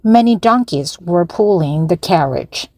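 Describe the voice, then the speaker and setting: A woman reading a children's story aloud slowly and carefully for language learners to repeat. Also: on the low side, expressive, darkish tone, dry recording. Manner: slow, careful, evenly paced reading.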